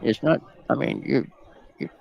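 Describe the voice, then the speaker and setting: A man commentating a pool match in a relaxed, analytical way, with a soft voice that is wearing out from a long stretch of talking. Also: medium pitch, a little slow, highs cut off, slight background noise. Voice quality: gravelly, soft voice going out. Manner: relaxed, analytical shot talk. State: voice tired and going.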